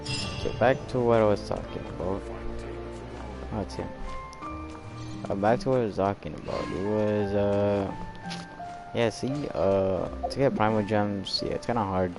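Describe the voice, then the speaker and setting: A man's voice: lowish voice, a little slow, long pauses, over music. A young man giving casual gameplay commentary on a stream, rambling hesitantly and often trailing off. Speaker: game live streamer.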